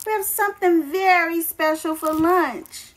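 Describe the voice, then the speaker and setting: Woman reading as a high-voiced, affectionate storybook mother. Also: a bit bright. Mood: coaxing. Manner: calling out slowly and emphatically. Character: Peter's mother, firm but affectionate young-family mom.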